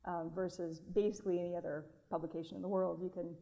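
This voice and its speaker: A woman's voice: lowish voice, flat delivery, quick pace, vocal fry, warm tone, poor audio. A woman editor on a panel, explaining casually and thinking aloud with fillers. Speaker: magazine science editor, panelist. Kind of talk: panel discussion.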